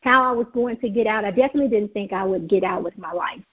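A woman's voice: mid-range voice, fast, breathy edge, highs cut off. A woman from Mississippi with a Southern accent, giving an earnest, faith-filled personal testimony as an interview guest, with frequent fillers. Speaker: guest, nonprofit founder.

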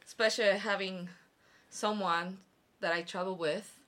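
A woman's voice: mid-range voice, fairly even intonation, normal speed, fairly bright tone. A woman guest chatting casually on a podcast, giggly and talkative, laughing often between phrases. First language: not Korean. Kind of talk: casual chat.

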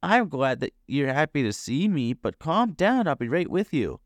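A man acts out a kindly, hearty fellow talking to his dog in a storybook. His voice is raised and lively, with affectionate exclamations. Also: a little high, normal speed, no room sound, studio quality, voice pushed up. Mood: relieved, amused. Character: kindly, hearty male inventor, dog's owner.

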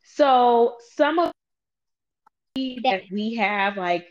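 Lively woman in her twenties, lo-fi. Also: fairly high pitch, extremely slow. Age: 20s. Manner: animated, playful teasing, talks to viewers directly. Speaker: host. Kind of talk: casual chat.

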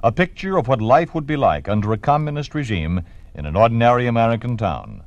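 A male announcer presents an old radio drama in a measured, declamatory tone. His pitch rises and falls with lively emphasis, and the sound is dry and close. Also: no echo, highs cut off.

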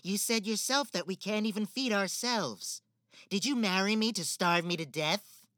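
A woman's voice: medium pitch, moderate pace, a bit bright, no echo, clean studio sound, natural voice. A woman playing a cold, manipulative stepmother in a spoken fairy-tale drama. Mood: reproachful. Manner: manipulative, icy, theatrical.

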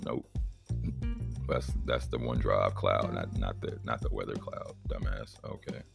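A man's voice: steady tone, unhurried, vocal fry, over music. A man in his thirties with an Oklahoma accent, hosting a casual solo podcast in a rambling, profane, laid-back way. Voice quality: deep voice. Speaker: podcast host.

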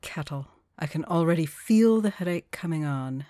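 Lady as a fed up witch, deep for a woman, flat n sarcastic, dry close sound, audio drama. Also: moderate pace, dry recording. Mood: weary, dark. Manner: flat, sardonic, clipped. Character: stern, exasperated female witch, dry and unyielding. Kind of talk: multivoice podfic audio drama acting.

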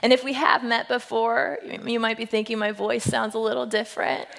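Husky woman preaching in a big hall. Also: a little high, a little fast, a little hiss or hum. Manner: playful storytelling, self-deprecating jokes, lively quoted voices. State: husky voice today. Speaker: senior pastor. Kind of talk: humorous sermon to a congregation. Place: church sanctuary. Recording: long reverb of a large hall.

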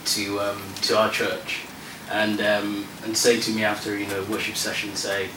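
A low-voiced man in the audience asks a question, rambling with plenty of fillers. He is picked up from across an echoing hall, with noise in the background. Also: completely flat delivery, moderate pace. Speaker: audience member asking a question. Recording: echoey room, distant mic, noisy.

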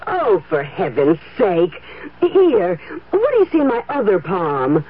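A woman's voice: on the low side, huge pitch swings, measured pace, crowd noise. A woman playing an eager, gullible fortune-teller's client in a theatrical radio ad sketch. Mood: dismayed. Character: eager, gullible woman having her palm read. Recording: tinny old radio recording.

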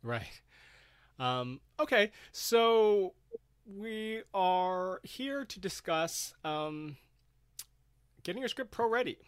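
A man's voice: high-pitched, lively intonation, drawn-out words, pauses often, smooth, no echo, clean studio sound. A man hosting a panel discussion and putting questions to his guests. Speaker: host.

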